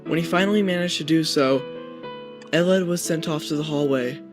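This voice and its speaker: A man's voice: a little high, brisk. A young adult man reading a scripted first-person horror story as its narrator. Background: guitar music.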